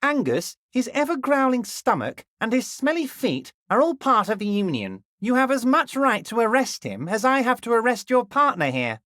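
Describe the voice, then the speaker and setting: A man voicing a scheming, jovial middle-aged male union boss in a video game, smooth and ingratiating with a slyly patronizing edge, drawing out key words slowly. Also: very high voice, sing-song, a bit bright, dry recording, studio quality, heavily acted. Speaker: dock union leader character.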